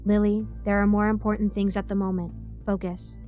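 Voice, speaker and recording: A woman's voice: medium pitch, flat delivery, fairly quick, mellow, faint music behind, close to an everyday voice. A woman voicing a small male toy guardian, grave and earnest, in an audio story.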